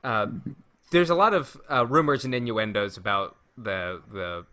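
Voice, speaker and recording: Low, bright-voiced male podcast host. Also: big pitch swings, unhurried. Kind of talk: casual chat about Star Wars rumors.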